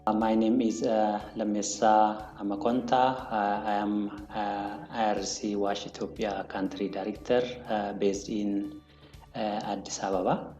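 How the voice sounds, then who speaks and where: A man speaks haltingly as a country director, his delivery flat and his tone dark and relaxed. The sound is dull and lo-fi, with a roomy echo and soft music underneath. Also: lowish voice. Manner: hesitant, many fillers. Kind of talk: recorded video statement.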